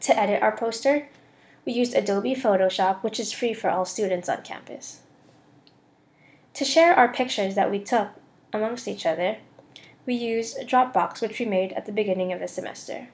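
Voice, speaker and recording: A woman's voice: medium pitch, normal speed. A young woman with an American accent narrating a scripted class presentation as a student voice-over. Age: young adult.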